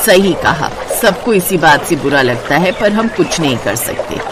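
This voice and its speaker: A woman voicing a kind, resigned sister-in-law who leads a group, gentle and consoling, in a moral cartoon story. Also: deep voice, animated, unhurried, background noise, voice pushed down.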